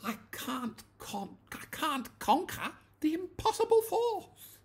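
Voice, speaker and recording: Overwrought young lord in a comic storybook, very high and swooping, pleading. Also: male voice, sing-song, takes it slowly, exaggerated voice. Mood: anguished. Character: anguished, peculiar teenage aristocrat boy.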